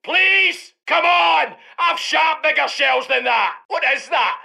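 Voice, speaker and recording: Shouting, gravelly Scottish tank commander. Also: male voice, very high-pitched, lively intonation, slow pace, full character voice. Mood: defiant, mocking. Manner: commanding, salty, colourful slang. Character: gruff, cocky British heavy tank commander barking orders. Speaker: video game voice actor. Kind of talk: shouted military unit voice lines.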